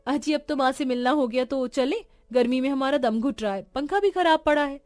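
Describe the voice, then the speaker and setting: A spoiled young married woman, put on by a female narrator, haughty and sharp-tongued. Her voice is high and whiny, as in a cartoon family drama, with music underneath. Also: a little fast, no room sound, lightly acted. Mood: complaining.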